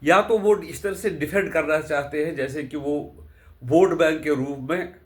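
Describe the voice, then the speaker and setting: A man talks as a political commentator, unscripted and deliberate. His pitch sits a little high for a man. He speaks very slowly, in halting phrases, and puts rhetorical questions to the listener. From India.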